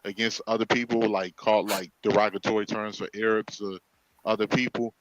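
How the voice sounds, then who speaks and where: A man's voice: on the low side, level tone, moderate pace, lo-fi sound, a little hiss or hum. A man in a casual stream discussion who explains his points and often restarts his sentences. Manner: explanatory, repeats sentence starts. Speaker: stream participant.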